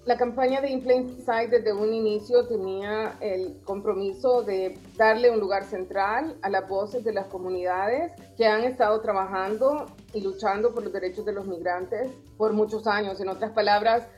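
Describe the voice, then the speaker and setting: A woman's voice: medium pitch, steady tone, normal speed, hardly any pauses, a little mellow, faint music behind. A woman from El Salvador, a professor and artist, answering interview questions in a thoughtful, earnest way. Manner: thoughtful, earnest, occasional restarts. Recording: slightly dull lo-fi sound.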